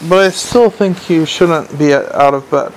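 A man's voice: a little high, unhurried, a little hiss or hum, close to an everyday voice, poor audio. A man playing an earnest young male watch captain who speaks patiently and courteously. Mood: careful.

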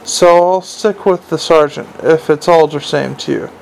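A man voicing a dim-witted young male troll who speaks slowly and rambles in halting fits. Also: on the high side, gravelly, darkish tone, slight background noise, low-quality recording. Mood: eager. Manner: slow, halting, rambling speech.

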